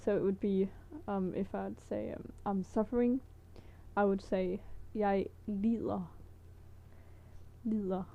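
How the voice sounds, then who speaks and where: A woman's voice: mid-range voice, fairly even intonation, slow pace, creaky, warm tone. A woman from Denmark with a Danish accent, teaching words in a casual, hesitant vlog with many fillers and small laughs. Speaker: language teacher vlogger.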